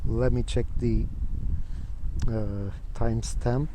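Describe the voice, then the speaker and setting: A young man from Turkey, a native Turkish speaker with a Turkish accent, talking to the camera and then reading an academic book aloud in a careful, unhurried way with occasional restarts. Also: medium pitch, fairly even intonation, background noise.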